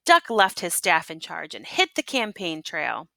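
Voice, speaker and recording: A woman reading a children's picture book aloud in an expressive, teacherly way, pausing to prompt listeners with questions. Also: on the low side, vocal fry, no echo. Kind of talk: expressive read-aloud storytelling with asides and questions.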